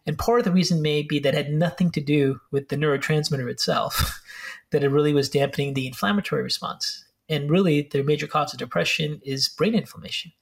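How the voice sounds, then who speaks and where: A man speaking as a guest expert, explaining brain health in a fast, filler-heavy way with restarts. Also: on the high side, steady tone, creaky. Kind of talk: explanatory interview talk.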